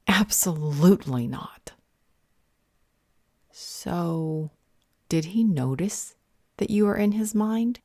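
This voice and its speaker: Woman playing a low, dark-voiced vampire healer. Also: expressive, takes it slowly, long pauses, no echo, studio quality, heavily acted. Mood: firm, guarded. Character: stern, protective female vampire healer. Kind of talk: audio roleplay acting.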